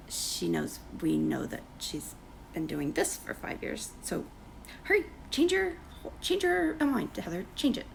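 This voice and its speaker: A woman talks through someone's posts in a casual, mocking commentary, with sarcastic asides. Her voice is strongly gravelly with constant fry, and she is losing it. A faint noise sits under the recording. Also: on the low side, poor audio. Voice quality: creaky, gravelly. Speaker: commentary creator. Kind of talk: casual gossip commentary reading posts aloud.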